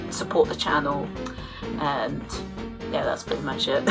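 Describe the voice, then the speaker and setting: A woman's voice: very deep voice, little pitch movement, takes it slowly, vocal fry, soft background music. A woman chatting casually and unscripted as a channel host, rambling, hesitant and self-deprecating. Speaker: YouTube channel host giving updates.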